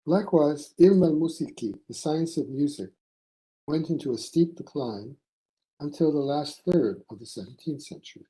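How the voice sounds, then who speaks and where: A man delivering a formal academic conference paper from a prepared text, in measured, deliberate phrases. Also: fairly high pitch, animated, dark tone, low-quality recording. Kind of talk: formal scholarly lecture read from a prepared text.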